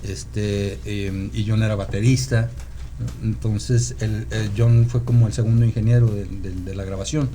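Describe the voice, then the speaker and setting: Mellow, halting Mexican man reminiscing. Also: lowish voice, fairly even intonation, faint music behind. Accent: Mexican, from Guadalajara. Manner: halting, meandering storyteller who seeks confirmation with "¿no?". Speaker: podcast guest, rock musician. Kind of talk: casual reminiscing conversation.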